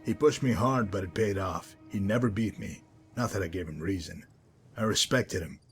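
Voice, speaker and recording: A man playing a cold, cynical former pit fighter and gang enforcer in a video game, speaking in a calm, wry, articulate and menacing way. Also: lowish voice, lightly acted. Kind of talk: video game character dialogue.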